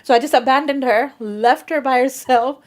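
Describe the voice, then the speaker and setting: A woman's voice: sits high, moderate pace. Chatty woman. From England. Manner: chatty, animated storyteller who acts out quoted speech. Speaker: guest. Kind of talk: casual storytelling in conversation.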